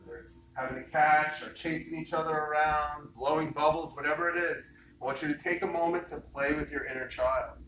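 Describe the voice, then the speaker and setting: A man leading a guided meditation, giving slow, soothing instructions and repeating phrases. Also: on the high side, fairly even intonation, background noise. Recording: roomy and distant with loud music.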